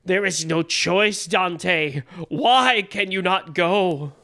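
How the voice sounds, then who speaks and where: A man's voice: very high voice, slow, fairly bright tone, exaggerated voice. A man playing an earnest, anxious songwriter in a comedic audio drama, pleading melodramatically. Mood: urgent, pleading. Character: earnest, anxious songwriter seeking a friend's help.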